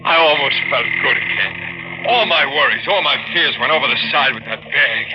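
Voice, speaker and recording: An actor playing a tormented, guilt-ridden sailor in a 1940s radio mystery, narrating with dramatic, brooding intensity. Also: male voice, mid-range voice, animated, moderate pace, natural voice. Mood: tense recounting. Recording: noisy, muffled 1940s radio recording.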